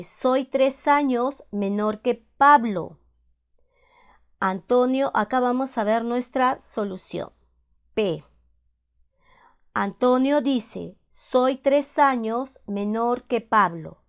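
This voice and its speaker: Slow, patient woman teaching. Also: a little high, clean tone. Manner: patient, repeats each step, asks checking questions. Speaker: math teacher. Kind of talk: slow step-by-step classroom explanation.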